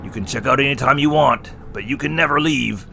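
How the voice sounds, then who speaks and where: A man's voice: medium pitch, brisk, gravelly, bright, forceful tone, slight background noise. An actor playing a wisecracking male clown who co-hosts a scripted horror review. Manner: punny, teasing. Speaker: co-host. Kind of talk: scripted comedic review banter.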